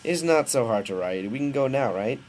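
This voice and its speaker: A man's voice: medium pitch, lively intonation, a little fast, darkish tone, pitched above the performer's own voice, close to an everyday voice. A man voicing a blunt, confident young boy inventor with a matter-of-fact manner in an amateur story reading.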